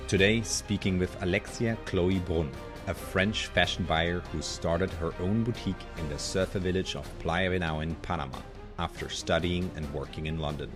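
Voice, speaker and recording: Deep-voiced guy doing the show intro, music playing clearly under him. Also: moderate pace. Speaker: show host. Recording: clear music bed behind the voice.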